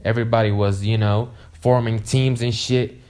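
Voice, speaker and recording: A man's voice: medium pitch, little pitch movement, moderate pace, a little mellow, clear, resonant tone. A young man whose first language is Arabic tells a casual, rambling storytime full of "you know" fillers and swearing. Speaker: vlogger.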